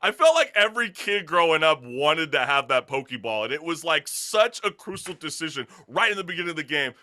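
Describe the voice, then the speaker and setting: A man hosting a casual talk show in an animated, slangy, hyped-up way. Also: fairly high pitch, a little fast.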